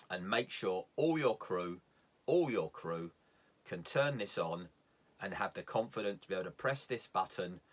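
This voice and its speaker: A man's voice: on the low side, fairly bright tone. A man giving an informal, hands-on instructional talk as a sailing instructor, plain-spoken and step by step, repeating key points for emphasis.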